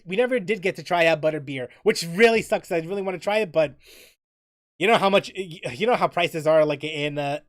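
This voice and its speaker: High pitched guy streamer rambling casually, voice swings up and down, keeps restarting sentences, music behind him. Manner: halting, often repeating and rephrasing himself mid-sentence. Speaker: livestream host.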